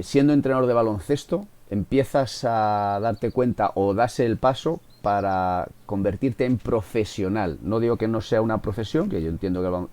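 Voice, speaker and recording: Mellow, low-voiced male podcast interviewer. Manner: hesitant, rephrases questions. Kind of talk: interview questions.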